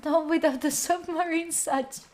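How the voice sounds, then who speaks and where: A woman's voice: very high-pitched, steady tone, a little slow, darkish tone. A young woman with an Italian accent, a streamer chatting to her viewers while playing a game and cheering on her character. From Italy. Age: young adult. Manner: chatty, talks to chat, cheers on her character with sudden squeals. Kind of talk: live gameplay stream chat.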